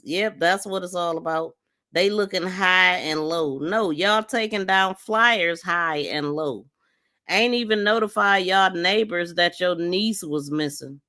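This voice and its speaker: A woman hosts a crime show with unhurried, drawn-out delivery. She is blunt and exasperated, commenting casually and scornfully, leaning hard on her phrases. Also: mid-range voice, pushed and bright. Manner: emphatic, repeats phrases mockingly. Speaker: crime podcast host. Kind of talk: casual live commentary on played audio.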